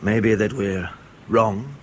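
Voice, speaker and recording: A man playing a dogged, controlled intelligence officer in a radio drama, questioning in a measured, pointed way. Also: on the low side, slight background noise. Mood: grave, insistent.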